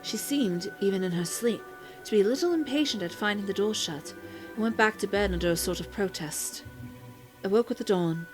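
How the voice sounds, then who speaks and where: A woman reading a novel's journal entry aloud in measured, formal narration. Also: mid-range voice.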